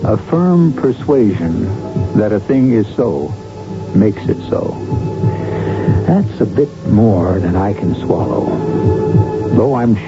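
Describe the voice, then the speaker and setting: A man hosting a radio drama, giving scripted, reflective narration in a measured, formal, philosophical manner. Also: on the low side, very animated. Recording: muffled old radio broadcast with music.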